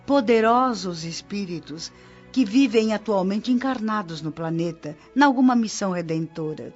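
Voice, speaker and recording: A woman's voice: mid-range voice, expressive, normal speed, natural voice. A Brazilian woman acting a kindly, knowing old nurse of the spirit world in a radio drama, reassuring and explanatory. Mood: reverent, explaining. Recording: muffled audio with music behind. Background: background music.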